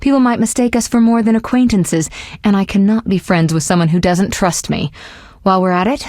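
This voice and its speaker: A woman's voice: medium pitch, brisk, darkish tone, dry recording, clean studio sound, lightly acted. A woman voicing a self-assured, sly sorceress in a video game, speaking in poised, articulate, formal phrases with a teasing edge. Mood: cold, reproachful. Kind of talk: scripted video game dialogue.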